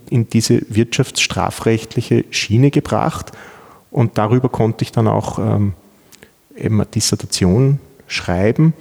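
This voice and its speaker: A man's voice: on the low side, gravelly, darkish tone, big-room sound. A middle-aged man from Vienna with an Austrian accent, a law professor answering interview questions with many fillers and restarts. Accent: Viennese Austrian German.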